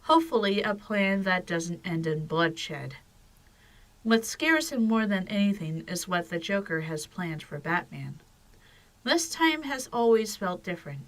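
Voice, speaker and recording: A woman's voice: medium pitch, lively intonation, measured pace. A woman narrator reading scripted storytelling for an audio drama.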